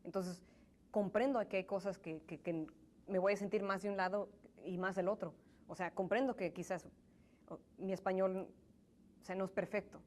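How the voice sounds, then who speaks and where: A woman's voice: mid-range voice, fairly even intonation, moderate pace, a little mellow. A young woman answering interview questions in an earnest, thoughtful way, often restarting her sentences; she grew up in the United States in a Mexican family, and English is her first language. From Mexican family, raised in the United States. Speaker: interview guest. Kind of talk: conversational interview.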